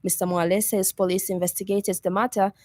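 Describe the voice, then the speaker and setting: A woman's voice: mid-range voice, little pitch movement, brisk, mellow, no room sound. Zambian woman reading radio news, dry sound. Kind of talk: scripted news bulletin reading.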